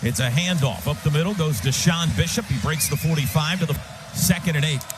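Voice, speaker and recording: A man doing live radio play-by-play of college football, calling action in short, urgent, excitable phrases that swell into shouts. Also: fairly high pitch, a little fast, background noise.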